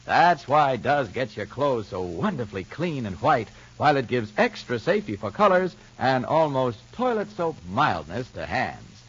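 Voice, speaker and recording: A male radio announcer with a swooping, expressive delivery, dramatic in the story and persuasive in the ads. His slightly husky voice comes through a dull, lo-fi old broadcast recording. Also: mid-range voice, moderate pace, few pauses. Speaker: announcer and narrator.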